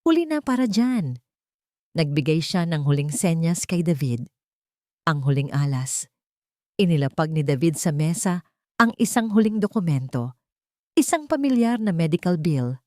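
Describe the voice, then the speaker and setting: A woman narrating a scripted drama story in a solemn, deliberate way. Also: low-pitched, expressive, mellow, dry recording, clean studio sound.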